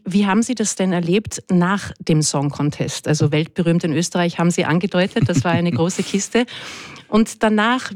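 A woman presents a radio show with a clear, measured delivery. Her voice sits low for a woman. The studio sound is dry and close, with almost no room behind her. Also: a little mellow, no room sound. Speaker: radio host. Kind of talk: radio interview.

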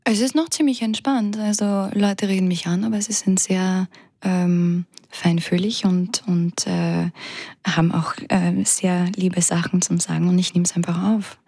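A woman in her thirties from France, an actress speaking reflectively as a guest in a radio interview and often pausing to search for words. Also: lowish voice, steady tone, creaky, mellow, husky, no room sound, clean studio sound.

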